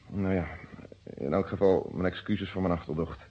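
Inspector, low and slightly husky, earnest and formal, old radio drama with dull sound. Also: male voice, steady tone, normal speed. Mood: rueful. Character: earnest police inspector working a murder case. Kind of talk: radio play acting. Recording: muffled old radio play recording.

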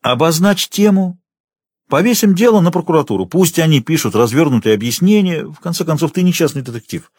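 A man voicing a cautious, skeptical male newspaper editor in an audiobook, measured and admonishing. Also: fairly high pitch, animated, darkish tone, no room sound, clean studio sound, voice pushed up. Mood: advising.

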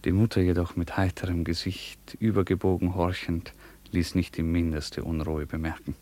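A man narrating a radio play in a measured, solemn, formal literary manner. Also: low-pitched, level tone, dark tone.

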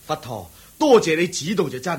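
Radio drama: excitable, pious poor husband, highish husky voice, deferential manner, Hong Kong Cantonese. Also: male voice, measured pace, full character voice. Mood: reverent, eager. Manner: deferential, excitable, chuckling and sighing. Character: poor, humble, eager devout village tea-giver and husband.

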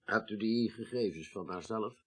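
An actor playing a reserved, sceptical investigator in a radio play, probing in terse, formal questions. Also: male voice, lowish voice, moderate pace, fairly bright tone, breathy edge, low-quality recording. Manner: terse, formal, attentive murmurs. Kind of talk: 1980s radio play acting.

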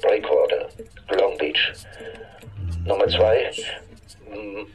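Helpful police colleague in a radio play. His voice is low and very slow. He gives each item separately, plainly and methodically. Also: soft background music, rough recording. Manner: halting, item-by-item delivery. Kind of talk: German radio drama dialogue.

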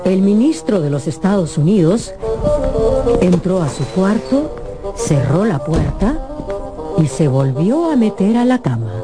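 A woman narrating a scripted radio drama in a measured, expressive, theatrical storytelling manner. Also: on the low side, over loud music.

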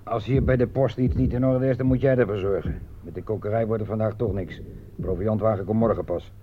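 An actor in a radio play playing a gruff, weary army sergeant with a blunt, commanding but fatherly way of talking. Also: on the low side, brisk, close to an everyday voice. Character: gruff, weary army sergeant looking after his young soldiers. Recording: muffled, crackly old radio play recording.

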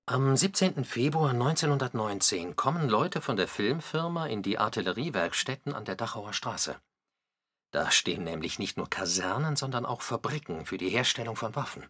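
A man's voice: mid-range voice, expressive, normal speed, fairly bright tone, husky. A man giving plain, observational, scripted descriptive narration.

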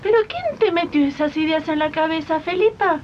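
Shrill, indignant lady employer. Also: lively intonation, fairly quick. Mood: incredulous, indignant. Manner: incredulous, condescending. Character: haughty female employer of a maid, indignant and stingy. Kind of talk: radio drama acting. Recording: dull lo-fi radio play under loud guitar. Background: guitar music.

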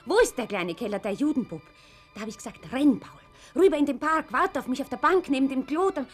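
A frightened, earnest young daughter in a radio drama. Her Austrian-accented voice is fairly high and husky, with lively swings and a plain, urgent delivery. Faint music lies behind it. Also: fairly quick. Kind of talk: naturalistic radio drama acting.

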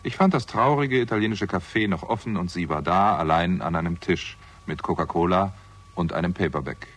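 An actor playing a jaded, hard-drinking theatrical agent with a conscience, sardonic and world-weary, in a 1960s German radio crime drama. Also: male voice, deep voice, a little fast, fairly bright tone, slight background noise. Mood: wry narration.

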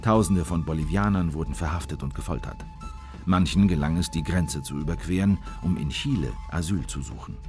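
Deep-voiced sombre memoir narrator. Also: male voice, darkish tone. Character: male memoir narrator, sombre conspirator recalling a failed plan. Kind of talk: scripted radio documentary drama narration.

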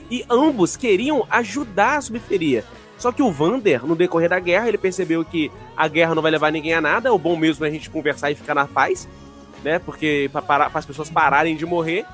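A man with a slight lisp argues his take on a casual podcast, his voice sitting a touch high. He swears freely and laughs as he goes. Faint music plays underneath. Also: a bit bright. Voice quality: mild speech impediment. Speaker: podcast co-host. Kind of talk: casual group chat.

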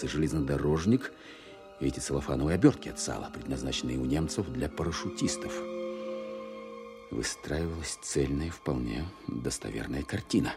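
A shrewd wartime intelligence officer narrates a radio play in an extremely deep voice. He reasons slowly and deliberately, with music clearly playing underneath. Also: darkish tone. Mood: deliberate reasoning. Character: shrewd male wartime counterintelligence officer thinking aloud. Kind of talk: dramatic first-person narration, radio play.

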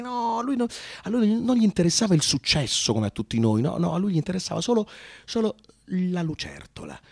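A man narrates a comic radio monologue in a medium-pitched voice, recorded close and dry. He performs theatrically, with halting repetitions, and slips into bits of acted dialogue. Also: vocal fry, darkish tone, no room sound. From Rome, Italy. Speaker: actor and narrator.

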